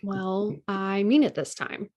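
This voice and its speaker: A woman's voice: medium pitch, steady tone, slow, darkish tone, lightly acted. A woman reading a sassy, affectionate girlfriend. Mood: playful. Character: sassy, affectionate girlfriend meeting boyfriend's family. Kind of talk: script table read, naturalistic romantic drama.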